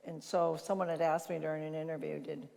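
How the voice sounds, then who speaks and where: An older woman with a naturally loud voice gives an informal conference talk, telling anecdotes and acting out bits of conversation. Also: low-pitched, little pitch movement, fairly quick, gravelly. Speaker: conference speaker and leadership consultant. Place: large reverberant conference hall. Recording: reverberant hall sound.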